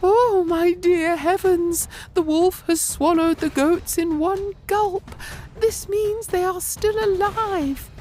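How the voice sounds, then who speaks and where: A woman's voice: very high voice, fairly even intonation, slow pace, mellow, slight background noise, pitched above the performer's own voice. A woman voicing a caring, anxious mother goat in an earnest, exclaiming way. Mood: shocked, hopeful.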